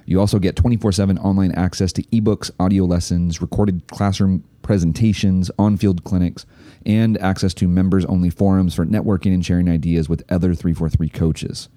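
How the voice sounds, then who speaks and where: A man's voice: low voice, a little fast, dry recording, clean studio sound. A man hosting a coaching podcast, talking casually and enthusiastically with plenty of fillers and restarts. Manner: relaxed, filler-heavy, enthusiastic ad read. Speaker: podcast host. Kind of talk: casual podcast intro and interview.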